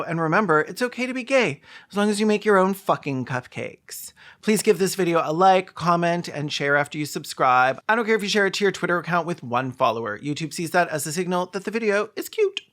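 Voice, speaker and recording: Sarcastic video host, mid-pitched voice, profane, mocking, comedic reaction commentary. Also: animated, a little fast, few pauses, a bit bright, faint music behind.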